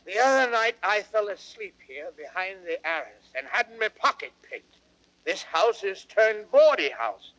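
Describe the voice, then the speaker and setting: A roguish old fat knight, blustering and boastful. His voice is high and bright, swinging widely as he declaims in old radio Shakespeare style. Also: sing-song, measured pace, exaggerated voice, rough recording. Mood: aggrieved. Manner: blustering, theatrical, swooping delivery.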